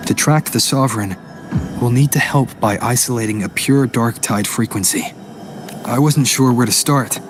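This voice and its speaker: An actor playing a calm, earnest hero companion in a video game, composed and thoughtful as he explains. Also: medium pitch, natural voice.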